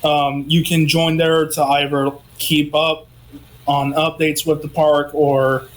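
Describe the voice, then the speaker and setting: A man co-hosting a casual gaming news chat, who says he is losing his voice and talks in an unhurried way with frequent ums. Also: fairly high pitch, little pitch movement, rough recording. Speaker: co-host, gaming news presenter.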